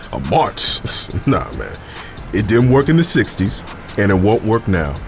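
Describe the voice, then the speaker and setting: A man's voice: on the low side, big pitch swings, slow pace, slight background noise, full character voice. An actor playing a cynical, skeptical American neighborhood man in an audio drama, scoffing and dismissive.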